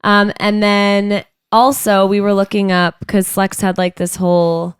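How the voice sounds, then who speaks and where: A woman's voice: medium pitch, little pitch movement, unhurried, clean tone, no echo. Stuffy-nosed woman chatting casually. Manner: chatty, rambling storytelling with many fillers. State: stuffy nose. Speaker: podcast co-host. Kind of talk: casual chat. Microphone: studio microphone.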